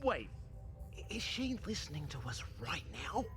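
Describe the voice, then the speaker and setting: A cheeky British starship captain with a very lively, swinging intonation. He talks in a casual, blunt, slangy way with wry humour, as in a sci-fi video game. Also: fairly high pitch, moderate pace, soft background music. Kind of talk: scripted video game voice acting.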